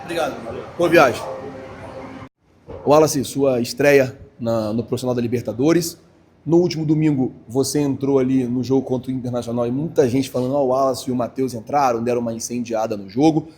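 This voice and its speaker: Male sports reporter, quick and husky, rambling post-match questions. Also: mid-range voice, darkish tone. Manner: long, wordy questions.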